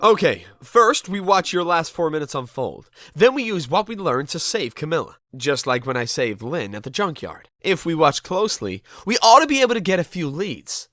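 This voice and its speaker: Man playing wry, lively ghost detective. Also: a little high, normal speed, hardly any pauses, no room sound, clean studio sound. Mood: determined. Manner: wry, expressive. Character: amnesiac male ghost detective, wry and kindly. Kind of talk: animated game fandub acting.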